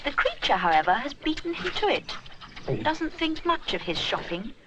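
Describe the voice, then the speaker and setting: A woman's voice: high voice, very animated, moderate pace, noisy background. A woman reading a scripted children's story expressively.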